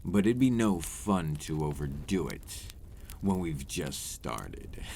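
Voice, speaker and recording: A man voicing a centuries-old vampire in an ASMR roleplay, a courtly, teasing predator with condescending, formal, old-fashioned phrasing and a deep voice. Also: sing-song, slow pace, darkish tone, slight background noise, exaggerated voice. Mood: teasing, menacing. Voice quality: deep, breathy at moments.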